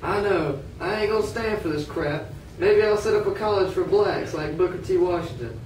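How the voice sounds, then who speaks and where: A man acts out an earnest freed man in a puppet show, defiant and emphatic. The high voice comes from far off the mic, with clear noise around it. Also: normal speed, a little mellow, close to an everyday voice. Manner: emphatic, indignant. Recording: roomy, distant, noisy amateur recording.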